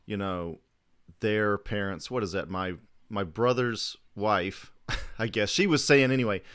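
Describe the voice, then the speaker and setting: A man's voice: fairly low pitch, a little slow, a bit bright, clean tone. A man in his thirties hosting a podcast, chatting in an informal, sweary way. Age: 30s. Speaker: podcast host. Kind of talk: casual chat.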